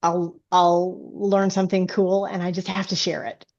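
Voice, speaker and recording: A woman's voice: on the low side, flat delivery, normal speed, darkish tone, dull audio. A woman chatting as an interview guest, an author explaining things enthusiastically in a casual way. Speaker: guest, science fiction author.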